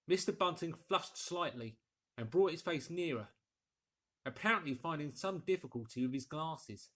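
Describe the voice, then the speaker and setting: A male narrator reads a classic story aloud. His delivery is expressive, scripted storytelling, in a voice pitched a little higher than most men's. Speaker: audiobook narrator, actor.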